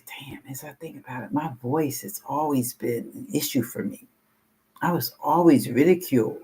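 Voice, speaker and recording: An older woman in her sixties speaks with a deep, low voice that has a husky grain. She rambles frankly through a personal share at a support meeting and swears casually as she goes. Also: dark tone, low-quality recording. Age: 60s. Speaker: meeting participant sharing.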